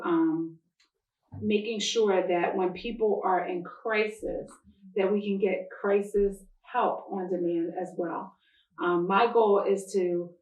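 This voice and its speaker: A woman county prosecutor giving formal remarks at a public announcement in a measured, deliberate, official way. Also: mid-range voice. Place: church interior. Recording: echoey room, distant microphone.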